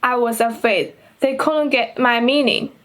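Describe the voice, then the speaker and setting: A woman's voice: a little high, fairly even intonation, measured pace, a bit bright. A young woman from China with a Chinese accent, an international student giving an earnest, carefully paced assembly speech in her second language. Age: young adult. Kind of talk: prepared school assembly speech.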